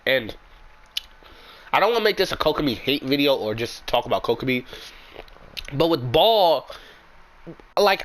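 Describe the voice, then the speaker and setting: A young man with a sick, raspy voice, giving frank, rambling opinions as a gaming YouTuber in casual commentary. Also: a little high, a bit bright.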